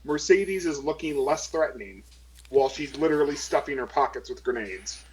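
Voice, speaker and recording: A man's voice: mid-range voice, lively intonation, normal speed, darkish tone, low-quality recording. A man talking casually as a player in a tabletop role-playing game, describing what his character does. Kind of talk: casual chat.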